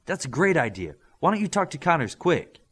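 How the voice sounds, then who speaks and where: A man's voice: on the high side, sing-song, fairly quick, fairly bright tone, breathy edge, pitched above the performer's own voice, full character voice. A man voicing an eager, upbeat teenage boy who leads his team in an audiobook. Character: teen boy, eager peacemaking team leader.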